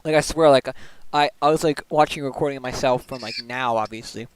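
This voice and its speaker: Smooth-voiced young man chatting on stream. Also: medium pitch, low-quality recording. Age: young adult. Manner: rambling, full of "like" fillers, quick laughs. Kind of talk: casual chat while gaming. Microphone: desk microphone.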